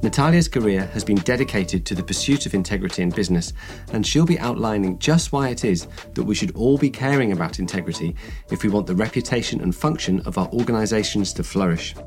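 A man's voice: on the low side, expressive, fast talker, a little mellow, soft background music. A man hosting a corporate podcast, reading his introductions and questions in a polished, scripted way. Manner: polished, read-from-script delivery. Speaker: podcast host.

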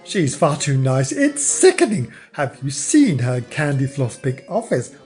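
A man's voice: mid-range voice, big pitch swings, a little slow, a little mellow, soft background music. A man voicing an overbearing, blunt older mother who scolds and nags her grown son in a storybook reading. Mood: scornful. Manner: brash, nagging, exclamatory.

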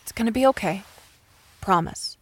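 An actress plays a young woman who is the loyal, teasing best friend in an audio drama. Her voice is average in pitch, and she speaks casually and frankly, with open affection. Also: normal speed, husky, dry recording, lightly acted, rough recording. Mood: tender. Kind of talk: naturalistic audio drama acting.

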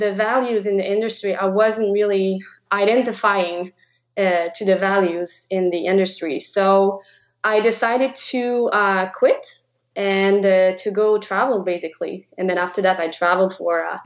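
A woman in her thirties from Quebec with a French-Canadian accent, native French, speaking haltingly as a podcast guest. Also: medium pitch, dull audio. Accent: Québécois French. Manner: halting, searches for words. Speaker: guest photographer. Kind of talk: podcast interview.

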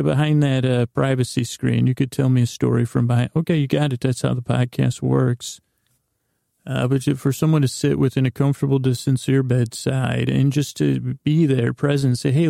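A man hosting a bedtime podcast, rambling in a halting, meandering, gently silly way with a gravelly, creaky voice. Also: medium pitch, no echo, studio quality. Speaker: podcast host.

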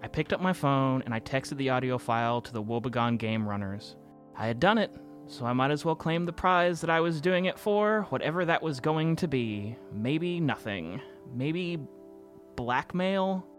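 An actor as an earnest, anxious man confiding a first-person audio drama story. His delivery is conversational, wry and reflective, at an ordinary male pitch. Music plays softly underneath. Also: lively intonation, moderate pace, natural voice. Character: Mike Walters, earnest anxious man recounting a strange game. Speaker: narrator and lead character of an audio drama.

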